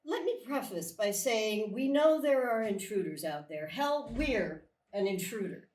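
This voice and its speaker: A blunt female military colonel acted by a woman at a table read questions in a measured, pointed way, with a medium-pitched voice. It comes from a distance in a very echoey theatre. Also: lively intonation, a little mellow, close to an everyday voice. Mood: grave, probing. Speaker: actor in a live table read. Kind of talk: dramatic script reading. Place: small live theatre stage. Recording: echoey theatre, far from the mic.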